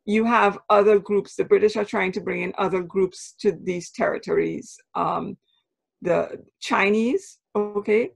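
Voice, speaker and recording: A woman's voice: mid-range voice, fairly even intonation, vocal fry, slightly rough. A woman from Trinidad with a Trinidadian accent, a professor explaining patiently in an interview and often checking in with "right?". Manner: measured, spells out terms, checks in with "right?". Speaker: anthropology professor, guest.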